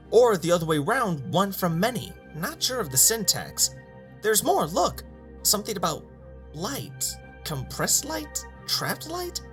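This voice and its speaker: Man voicing excitable, swooping robot scholar. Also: high voice, exaggerated voice. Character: excitable, scholarly robot historian, indignant and skeptical. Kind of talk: audio drama acting.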